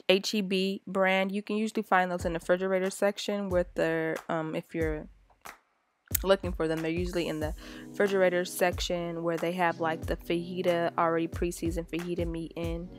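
A mom in her thirties talks through her cooking in a relaxed, rambling way, full of fillers. Her voice sits a little low for a woman. Soft music plays faintly underneath. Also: normal speed. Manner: chatty and relaxed, many fillers. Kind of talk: casual cooking voice-over.

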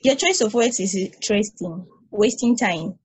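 Woman reading a calm ageless probe, husky, patient, philosophical. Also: medium pitch, normal speed. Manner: calm, reasoned explaining. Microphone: video call.

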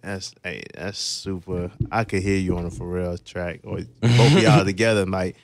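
A man's voice: low voice, steady tone, normal speed. A young man hosting a casual radio interview, informal, slangy and affirming with his guest. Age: young adult. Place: radio studio. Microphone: close broadcast studio microphone.

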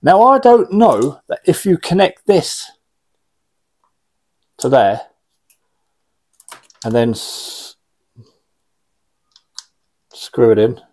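A man's voice: on the high side, big pitch swings, drawn-out words, long pauses, a little mellow, slightly rough. A man in his forties chatting informally and rambling a little as he gives a casual hands-on product demonstration. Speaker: radio hobbyist presenting an unboxing. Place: small indoor radio room.

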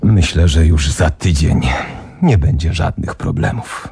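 A husky, menacing crooked tycoon. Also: male voice, very deep voice, unhurried, mellow, dry recording, exaggerated voice. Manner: threatening, mocking. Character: menacing middle-aged male crooked businessman. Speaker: corrupt company president. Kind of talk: 1990s radio drama acting.

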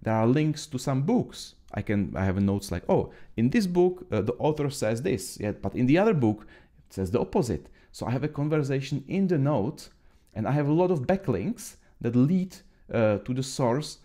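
A man in his thirties from the Czech Republic with a Czech accent, speaking English as a second language while walking through his note system in a screen-share tutorial, halting often with fillers and restarts. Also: medium pitch, very animated, dark tone. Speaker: trainer.

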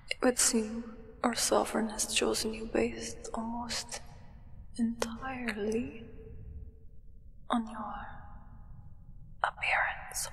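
A young woman playing an aloof, solemn acolyte of a vampire sovereign in a soft whispered ASMR roleplay. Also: fairly high pitch, flat delivery, gravelly, exaggerated voice. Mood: coolly disdainful. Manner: slow, measured, soft whisper. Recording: reverberant whisper with long echo.

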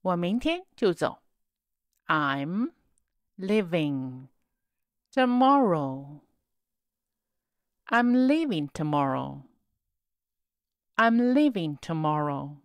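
A woman teaching set phrases in a slow drill, saying each word on its own and then the whole sentence. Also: lowish voice, sing-song, dry recording. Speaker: English teacher. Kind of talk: slow repeat-after-me drill reading.

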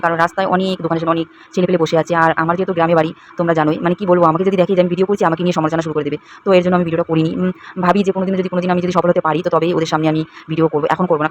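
A young woman chatting to viewers in a run-on, repetitive way as she narrates a casual home vlog. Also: on the low side. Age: young adult. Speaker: home vlogger. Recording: clearly noisy home recording, uneven volume.

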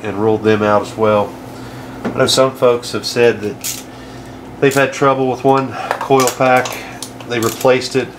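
Man demonstrating car repair over clear workshop noise. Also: mid-range voice. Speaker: DIY car repair channel host. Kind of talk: casual hands-on repair demonstration. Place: over an open car engine bay. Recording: clear engine-bay noise and clatter.

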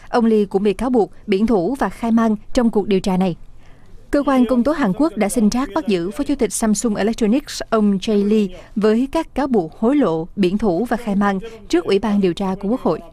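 A female news narrator with a warm, dark tone reads her script steadily. Her delivery stays measured and even. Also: medium pitch. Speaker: news reporter.

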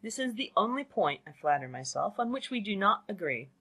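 A woman voicing a dry, sardonic middle-aged father in a storybook, speaking coolly and deliberately. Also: mid-range voice, breathy edge, voice pushed up, heavily acted. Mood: dry, measured. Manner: cool, deliberate, deadpan.

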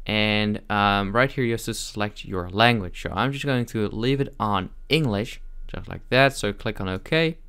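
A man hosts a casual how-to tutorial in a clear, smooth voice that sits a little low. He talks through each step informally, often hesitating and filling gaps as he goes. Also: a bit bright. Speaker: tutorial host. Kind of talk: casual software tutorial narration.

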